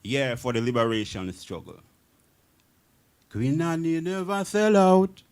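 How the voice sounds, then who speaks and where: A man's voice: medium pitch, big pitch swings, a little slow, darkish tone, clear, resonant tone. A man, a poet guest on a radio talk show, reciting his verse in a rhythmic chant and chatting casually. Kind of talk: reciting poetry and casual chat.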